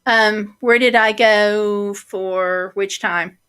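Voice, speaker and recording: A woman hosting a casual livestream and reading out viewer comments, talking slowly with many fillers while her voice is going hoarse. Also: mid-range voice, steady tone, fairly bright tone. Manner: slow, chatty, many fillers. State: losing her voice, throat clearing. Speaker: livestream host.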